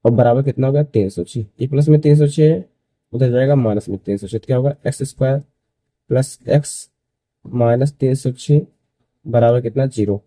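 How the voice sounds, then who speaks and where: Dark, muffled-toned young male maths teacher. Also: medium pitch, normal speed, dry recording. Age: early 20s. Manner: repeats phrases, asks and answers own questions. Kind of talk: step-by-step school maths lesson.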